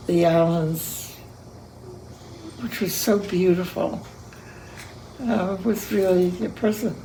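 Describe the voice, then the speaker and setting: A very old woman of about a hundred, reminiscing slowly in an oral history interview. Her voice is low and gravelly, with a faint hum of room noise behind her. She speaks at an unhurried, halting pace. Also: warm tone, poor audio. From Cuba. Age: 100. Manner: slow, reminiscing, hard of hearing.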